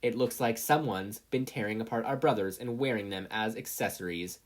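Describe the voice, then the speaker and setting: A performer voicing menacing combat robots that sneer at a human in a slow, taunting manner. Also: medium pitch, lightly acted.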